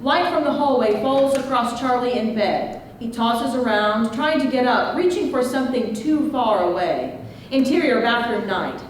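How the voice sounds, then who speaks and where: A woman with a slightly high voice narrates scene directions from a script. She sounds a bit far from the mic in a roomy space. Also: expressive, moderate pace. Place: live event room. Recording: roomy, distant, faintly noisy live reading.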